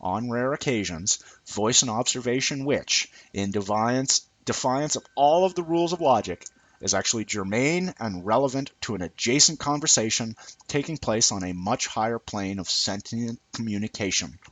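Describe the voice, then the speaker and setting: A man reading a scripted essay aloud in a deliberate, formal way with emphatic phrasing. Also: medium pitch, animated, a bit bright, no room sound. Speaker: amateur reader of a written essay.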